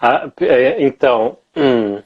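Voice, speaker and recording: A man's voice: medium pitch, unhurried, lo-fi sound. A man from southern Brazil, a native Portuguese speaker, co-hosting a casual live chat as a Portuguese teacher, easygoing and friendly. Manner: friendly, easygoing, with fillers and restarts. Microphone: webcam or phone on a video call.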